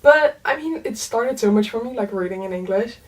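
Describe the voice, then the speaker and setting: A young woman whose first language is Dutch, chatting casually in a book vlog, full of "um" and "like" and soft laughs. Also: a little high, expressive, a little fast, recorded from a distance. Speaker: book vlogger. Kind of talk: casual chat.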